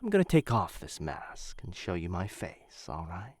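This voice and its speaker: A man playing a menacing, infatuated assassin in a boyfriend ASMR roleplay, speaking low, hushed and intimate into a close microphone, teasing and often slipping into whispers. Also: wildly swooping pitch, normal speed, background noise, heavily acted. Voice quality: low, gravelly.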